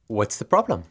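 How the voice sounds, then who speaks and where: Man playing a formal, flirtatious office boss, low-voiced. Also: a bit bright, slightly rough, full character voice. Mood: helpful, teasing. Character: formal male office supervisor, coyly flirting with an employee. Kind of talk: audio drama acting.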